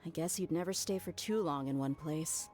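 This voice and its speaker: A woman's voice: low-pitched, normal speed, darkish tone, soft background music, natural voice. A woman playing a confident, easygoing, teasing young mercenary in a video game.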